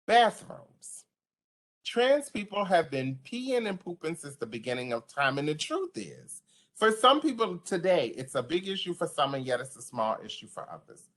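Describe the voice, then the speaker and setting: A woman with a deep voice giving an online training to service providers, speaking passionately and conversationally. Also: sing-song, moderate pace. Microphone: video call.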